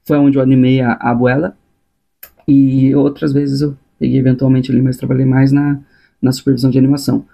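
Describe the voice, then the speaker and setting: A man's voice: medium pitch, flat delivery, a little fast, vocal fry, muffled recording. A man with a Brazilian Portuguese accent telling stories casually in a personal vlog, informal and colloquial, thinking aloud as he goes. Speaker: animation supervisor.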